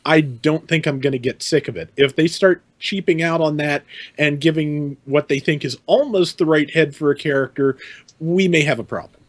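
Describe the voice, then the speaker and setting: A man's voice: on the high side, normal speed, dry recording. A man giving his opinions in casual podcast chat. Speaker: podcast panelist.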